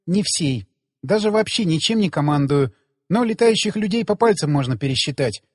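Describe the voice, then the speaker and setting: A man's voice: a little high, lively intonation, moderate pace, slightly rough, long reverb tail. A man voicing a proud, teasing woman in an audiobook, with a casual, wry, self-assured manner. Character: proud, teasing young woman, lover of the hero.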